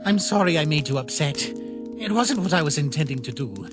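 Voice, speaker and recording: Casting: male actor as kind-hearted naive skeleton brother for a comic dub, halting, heartfelt delivery, music underneath. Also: on the high side, very animated. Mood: hesitant, sincere. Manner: earnest, halting, softly pleading. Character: earnest, gentle, naive male skeleton, caring younger brother. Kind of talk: dramatic comic dub acting. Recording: voice over background music.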